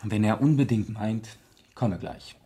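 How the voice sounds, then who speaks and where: An actor in a German radio play playing a composed aristocratic gentleman detective, polite and matter-of-fact. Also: on the low side, a little slow, breathy edge. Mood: casual, curious.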